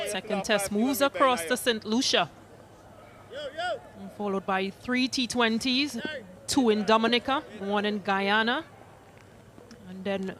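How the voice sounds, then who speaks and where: A woman giving brisk, matter-of-fact live cricket commentary and reading out the score. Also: mid-range voice. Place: cricket ground commentary position.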